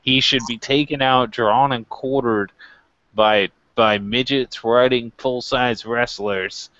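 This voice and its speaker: A man's voice: medium pitch, fairly even intonation, slow pace, a bit bright, no echo, highs cut off. A man co-hosting a wrestling review show, chatting casually with a wry, joking manner. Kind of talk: casual chat.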